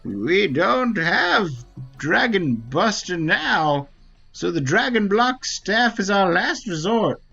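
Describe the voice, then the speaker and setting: A man's voice: sits high, big pitch swings, gravelly, bright, forceful tone, breathy edge, lo-fi sound, exaggerated voice. A man voicing an ancient, solemn Wingly elder, wise and formal, in a dramatic read-aloud of a fantasy video game. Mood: grave. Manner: slow, grave, formal delivery. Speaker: game streamer. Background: faint game music.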